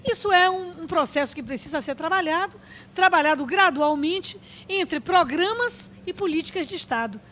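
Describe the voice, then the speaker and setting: A Brazilian woman giving a formal, measured opinion on public policy. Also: very high voice, expressive, fairly bright tone. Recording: faint background noise.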